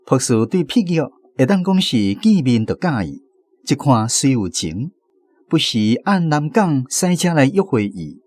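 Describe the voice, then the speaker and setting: Taiwanese man narrating with lively pitch. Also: a little slow, no echo. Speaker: host and radio drama narrator. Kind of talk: scripted literary narration.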